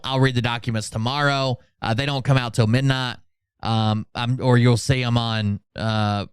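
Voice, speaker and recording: Male livestream host: mid-pitched, clear voice, casual running commentary, sardonic and sweary. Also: no echo, studio quality. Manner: sarcastic, blunt, profane.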